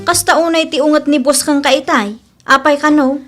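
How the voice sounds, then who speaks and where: A woman's voice: sits high, fairly even intonation, moderate pace, a bit bright, soft background music. A woman playing a blunt, protective young best friend in an amateur audio drama, frank and chiding, with an Ilocano accent.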